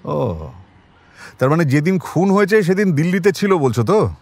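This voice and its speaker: A man's voice: a little high, sing-song, normal speed, a little mellow. A man playing a shrewd, commanding detective in an audio drama, speaking tersely with authority. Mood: probing, commanding. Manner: terse, authoritative, dryly probing.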